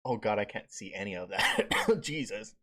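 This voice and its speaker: A man's voice: on the low side, expressive, normal speed, sharp tone. A male streamer reading a visual novel aloud with casual asides, stumbling over words and swearing casually.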